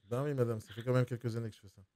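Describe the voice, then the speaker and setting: A man's voice: medium pitch, steady tone, very fast, dark tone, no echo, studio quality. A man posing as a buyer on a phone call, pressing hard and repeating himself to get his way. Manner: pushy, insistent, repeats himself. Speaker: mystery shopper posing as buyer.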